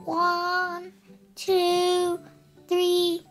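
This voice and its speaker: A woman's voice: very high-pitched, monotone, extremely slow, fairly bright tone, soft background music, voice pushed up, exaggerated voice. A young girl of about eight voicing a fluffy male owl in a storybook, friendly, cheerful and sociable. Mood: playful. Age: child, about eight.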